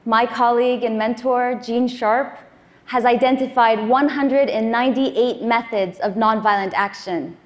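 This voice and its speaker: A woman in her thirties, born in Afghanistan, giving a prepared public talk in a measured, earnest way. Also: on the high side. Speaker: speaker.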